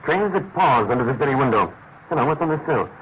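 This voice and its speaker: An actor playing a brilliant, eager English detective in a classic radio drama, theatrical, precise and commanding. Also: male voice, medium pitch, animated, fast talker, slight background noise, natural voice. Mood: absorbed, intent. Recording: tinny band-limited old radio recording, music behind.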